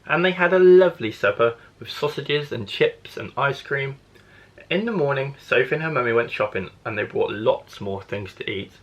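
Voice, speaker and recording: A man's voice: mid-range voice, animated, moderate pace. A man, a primary school teacher, reading a children's storybook expressively to young listeners.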